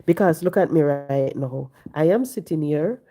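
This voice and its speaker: A woman, hoarse and congested from the flu, talking on a casual live stream, preaching and repeating her points to viewers from home. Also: sits low, lively intonation, moderate pace, mellow. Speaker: livestream host. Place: home, sitting.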